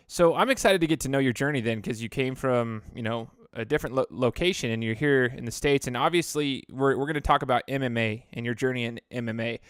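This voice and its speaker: Chatty podcast host, dry close mic. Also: male voice, medium pitch, fast, few pauses, smooth, no echo. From Idaho. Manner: chatty, rambling with restarts. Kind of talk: casual interview.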